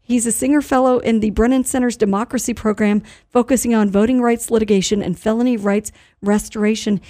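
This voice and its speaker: A woman hosting a local radio news recap, speaking in a conversational, somewhat halting way. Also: fairly high pitch, dark tone, dry recording, studio quality. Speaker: news host.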